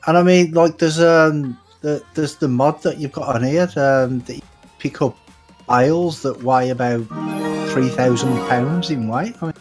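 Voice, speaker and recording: A man's voice: medium pitch, measured pace, faint music behind. Joking man in gaming voice chat. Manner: joking, teasing banter. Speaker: co-player on stream. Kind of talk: casual voice chat.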